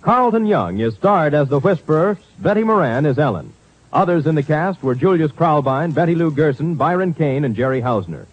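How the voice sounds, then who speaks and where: A man's voice: fairly high pitch, lively intonation, normal speed, slightly rough. A man announcing scripted credits in the style of 1950s radio. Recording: dull lo-fi old radio recording.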